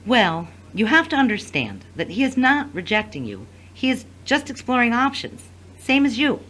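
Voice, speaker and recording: A woman acting in an audio drama as a pious friend giving advice, reassuring, gently firm and a little preachy. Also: on the high side, big pitch swings, moderate pace, poor audio.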